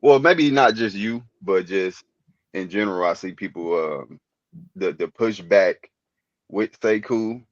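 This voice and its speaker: A man calling in, heard over a dull, lo-fi line with no room sound at all. His pitch is ordinary. He talks earnestly and haltingly, full of fillers. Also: dry recording. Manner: hesitant, filler-heavy, earnest. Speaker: caller. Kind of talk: casual call-in chat.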